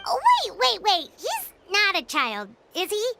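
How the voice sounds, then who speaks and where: Casting: tiny childlike game fairy sidekick, extremely high squeaky voice, animated delivery, blunt and excitable, music behind. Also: female voice, unhurried, creaky, background noise, exaggerated voice. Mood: alarmed, doubtful. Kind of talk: video game character acting.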